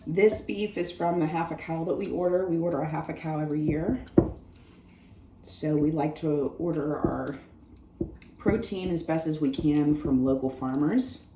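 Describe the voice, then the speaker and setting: A woman hosting her own home cooking show with a hoarse, worn voice, chatting viewers through each step of a recipe in her kitchen. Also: low-pitched, steady tone, normal speed, roomy sound, background noise. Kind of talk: casual cooking demonstration.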